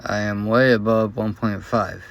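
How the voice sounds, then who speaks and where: A man talking in a casual, unscripted vlog, troubleshooting in a hesitant and unsure, halting way. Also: lowish voice, level tone. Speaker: vlogger.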